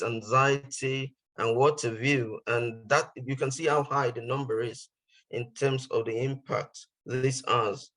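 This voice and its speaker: A man presenting research findings in an online talk, explaining in a measured, somewhat hesitant way. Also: mid-range voice, a bit bright, rough recording.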